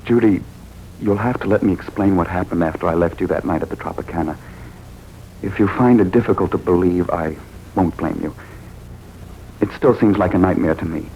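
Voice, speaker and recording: An actor playing a troubled, lovesick husband in a 1940s radio drama, on an old recording. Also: male voice, deep voice, brisk, gravelly, pauses often, muffled recording, slight background noise. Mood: earnest. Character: troubled, lovesick husband who regained his memory.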